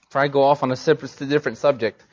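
A man's voice: mid-range voice, steady tone, very fast, highs cut off. A man preaching a sermon as a missionary, talking casually and rising emphatically on his key points. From Mexico.

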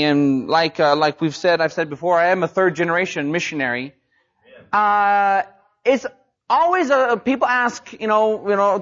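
A man's voice: high-pitched, fairly bright tone, muffled recording. A man preaching a sermon as a missionary, talking casually and rising emphatically on his key points. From Mexico.

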